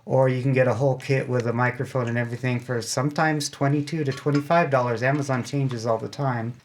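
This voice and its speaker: Male interview guest, amateur radio club member, average pitch, informal explainer who pokes fun at himself. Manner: chatty, self-deprecating. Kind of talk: casual explanatory interview talk.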